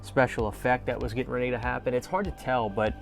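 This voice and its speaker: Male vlog host, mid-pitched voice, casual fan commentary, enthusiastic, informal, background music. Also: fairly even intonation, fast, vocal fry. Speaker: host of a horror fan video channel.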